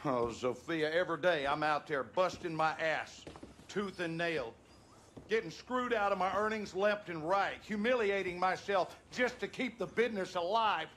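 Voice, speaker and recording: A man's voice: high voice, moderate pace, a bit bright, a little hiss or hum. An actor playing an abusive, bitter Southern husband and father, coarse and menacing, in a dramatic video game, with a Southern US accent. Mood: bitter, aggrieved. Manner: menacing, coarse, folksy cursing.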